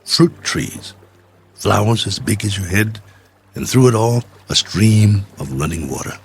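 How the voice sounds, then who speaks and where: An actor playing a stranded space traveler, weary but hopeful, halting and musing in a radio drama. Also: male voice, on the low side, lively intonation, a little hiss or hum. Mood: wistful wonder. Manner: halting, musing, talks to himself.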